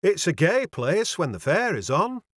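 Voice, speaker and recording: Man as a kindly, fretful Yorkshire landlady for a storybook; exclaiming, deferential dialect, highly animated. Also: measured pace, slightly rough, no room sound, clean studio sound, exaggerated voice. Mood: chatty, wondering. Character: fussy, kindly Yorkshire landlady, easily alarmed.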